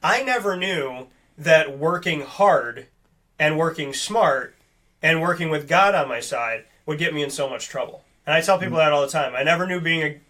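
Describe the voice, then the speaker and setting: Bright-voiced earnest man chatting. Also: fairly high pitch, moderate pace. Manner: earnest, rambling, animated. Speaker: podcast host. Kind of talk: casual chat.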